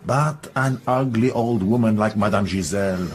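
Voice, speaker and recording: Actor as a low-voiced, courtly French charmer. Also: male voice, close to an everyday voice. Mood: amused, flirtatious. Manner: gallant, formal, halting search for English words. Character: courtly flirtatious Frenchman, an archaeologist. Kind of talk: radio drama acting.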